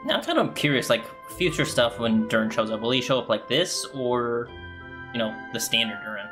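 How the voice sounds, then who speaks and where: A man's voice: medium pitch, lively intonation, brisk, music in the background. A man giving casual reaction commentary as he plays a video game. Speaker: game streamer.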